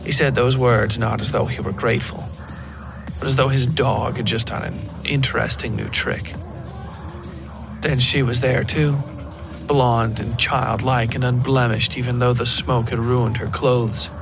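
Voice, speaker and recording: A man's voice: mid-range voice, fairly even intonation, unhurried, creaky, over music, rough recording. A man reading a scripted first-person horror story as the narrator of a fiction podcast.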